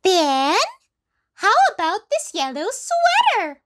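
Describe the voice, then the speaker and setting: A woman's voice: very high-pitched, wildly swooping pitch, slow, heavily acted. A woman voicing a cheerful, bossy little chick who helps a girl dress up, bubbly and sing-song for a children's puppet show. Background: children's music.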